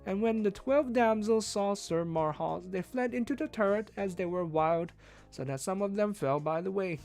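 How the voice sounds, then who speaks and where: A woman streamer reading a classic novel aloud as expressive read-along narration. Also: mid-range voice, moderate pace. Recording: faint music behind the voice.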